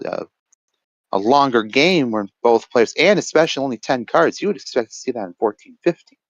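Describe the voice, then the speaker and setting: A man giving casual, reflective analysis as a match co-commentator, often pausing mid-thought over a voice chat. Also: medium pitch, dry recording, muffled recording. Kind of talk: casual esports-style card game commentary.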